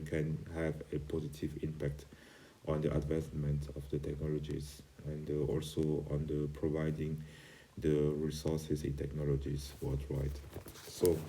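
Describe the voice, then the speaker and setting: A man from Cameroon with an extremely deep voice teaches an informal online lesson. He speaks as a non-native speaker, in halting, hesitant phrases. His intonation stays fairly flat and his tone is very dark and mellow. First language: not English. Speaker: company CEO, online course instructor.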